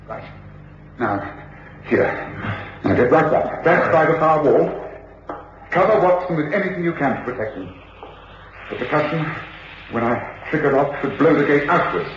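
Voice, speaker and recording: An English actor playing a commanding, coolly reasoning detective with clipped, authoritative delivery in an old British radio drama. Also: male voice, a little high, expressive, moderate pace, long pauses, lightly acted. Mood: urgent, commanding. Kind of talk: 1950s-60s British radio drama acting. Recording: echoey, dull, noisy old radio recording.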